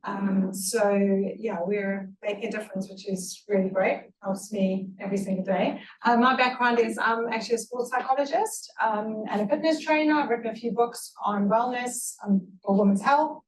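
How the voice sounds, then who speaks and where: A woman with a South African accent speaking on a panel as a tech founder, relaxed and enthusiastic, with many fillers. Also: medium pitch, moderate pace, hardly any pauses. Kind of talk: panel talk. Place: event hall. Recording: echoey room, distant mic.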